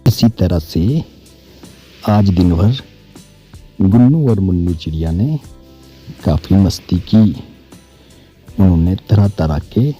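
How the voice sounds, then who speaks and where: A man narrating a children's cartoon story in a slow, measured way. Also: low voice, dark tone, husky.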